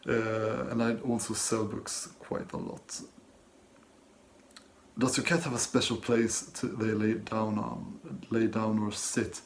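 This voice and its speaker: A Swedish man with a Swedish accent, speaking English as his second language, who reads viewer questions aloud and answers them softly and hesitantly from his desk at home. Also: fairly low pitch, flat delivery, slow pace, slight background noise. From Sweden. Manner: soft-spoken, hesitant, many ums and restarts. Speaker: book channel host. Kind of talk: casual Q&A vlog, reading and answering questions.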